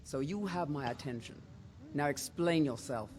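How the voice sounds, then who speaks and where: An actress playing a stern, suspicious bodyguard to a lord in a fantasy game, commanding and formal. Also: female voice, low-pitched, normal speed, a little mellow, slight background noise, lightly acted. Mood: stern, guarded.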